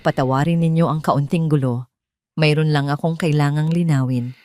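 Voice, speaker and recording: A woman voicing a wronged middle-aged wife, firm, clear and accusing, in an audio drama. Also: deep voice, steady tone, normal speed, warm tone, no echo, lightly acted. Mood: calm, firm.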